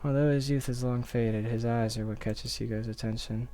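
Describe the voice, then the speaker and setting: Man reading a story aloud, mid-pitched voice. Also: steady tone, normal speed, dark tone. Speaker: streamer reading a visual novel aloud. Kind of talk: expressive story narration with casual commentary.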